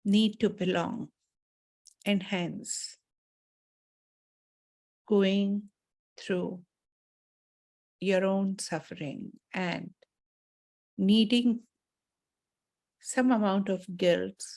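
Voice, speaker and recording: Female meditation teacher. Very unhurried, soft-edged mellow tone, soothing and measured, mid-range pitch, deliberate pauses. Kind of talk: guided meditation and spiritual talk.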